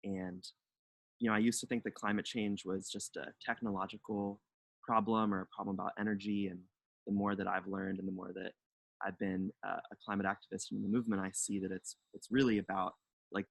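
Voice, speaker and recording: A young man from North Carolina, introducing himself as a delegation co-leader in a relaxed, hesitant webinar talk full of fillers. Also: deep voice, creaky, slightly rough, no echo, poor audio. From North Carolina, United States.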